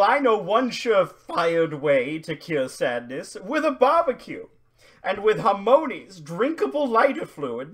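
A man playing a cheerful pitchman reading a parody old-time radio commercial. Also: exaggerated voice. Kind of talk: mock radio ad read.

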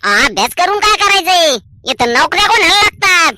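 A man's voice: extremely high pitch, sing-song, normal speed, sharp tone, full character voice. A man voicing a cheeky, ambitious young village son in a comedy cartoon, cocky and argumentative, with a rural Maharashtrian accent.

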